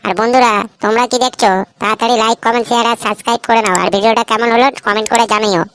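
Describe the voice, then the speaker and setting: A woman's voice: fairly high pitch, flat delivery, racing, fairly bright tone, lightly acted. A woman voicing a mocking male neighborhood tough who scolds younger men in a comic cartoon, foul-mouthed, with a West Bengal accent. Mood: teasing. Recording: clearly noisy background.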